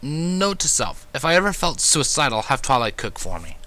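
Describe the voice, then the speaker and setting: A man's voice: medium pitch, big pitch swings, normal speed, bright, forceful tone, voice pushed up. A man voicing a polite, self-deprecating, queasy pony with a dry, hesitant manner in a fan fiction audiobook. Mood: wary, wry.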